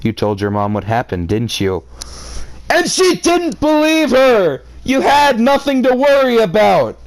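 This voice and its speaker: A man's voice: very high voice, wildly swooping pitch, unhurried, gravelly, no room sound. A man reading a story aloud and reacting to it with theatrical, exclamatory commentary and sarcastic asides. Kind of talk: live read-aloud with outraged commentary.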